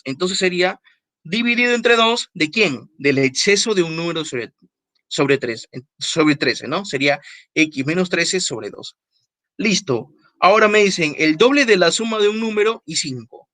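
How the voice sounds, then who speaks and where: Male math teacher, lively pitch, dry sound, repetitive, asks tag questions. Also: no echo. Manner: repeats key phrases and checks in with tag questions; stresses words slowly when explaining. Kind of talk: online class explanation.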